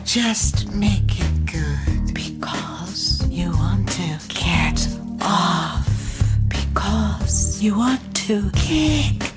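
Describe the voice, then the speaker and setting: Slow, sultry, brazen provocateur over loud music. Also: female voice, mid-range voice, expressive. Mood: sultry, goading.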